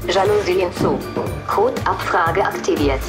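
Obedient female smart-speaker voice, performed by an actress, TV drama, unemotional, reverberant, loud music behind. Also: fairly low pitch, a little slow, natural voice. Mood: neutral. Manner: flat, polite, clipped confirmations. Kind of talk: TV drama voice assistant.

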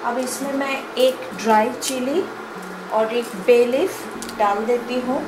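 A woman's voice: a little high, steady tone, takes it slowly, background noise. A woman with an Indian accent narrating a cooking tutorial step by step, mixing in English words as a home cook hosting her channel. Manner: simple, instructional, switches between Hindi and English.

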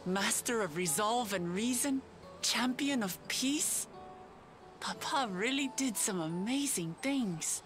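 A woman's voice: fairly high pitch, lively intonation, slow, music in the background. A woman voicing an earnest young lion-woman warrior princess in a video game cutscene. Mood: reflective.

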